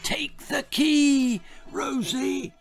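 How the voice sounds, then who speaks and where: A man voicing an eerie, pleading ghost in a children's horror story, with a whispery, drawn-out delivery over music. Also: very high-pitched, fairly even intonation, creaky, voice pushed up, heavily acted. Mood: eerie, beckoning. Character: eerie ghostly voice pleading from behind a locked door.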